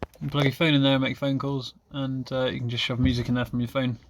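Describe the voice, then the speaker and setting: A man's voice: mid-range voice, flat delivery, fast talker, a little hiss or hum. A man talking casually and off the cuff as he presents a hands-on DIY demonstration, informal and self-deprecating. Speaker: car hobbyist presenting a DIY video.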